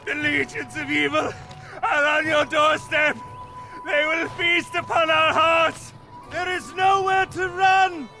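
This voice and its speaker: Frantic high-pitched doomsayer. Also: male voice, level tone, slow, heavily acted. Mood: frantic, menacing. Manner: ranting, apocalyptic preaching. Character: frantic male doomsayer, fanatical and fearful, preaching doom. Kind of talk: video game acting. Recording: loud music behind voice.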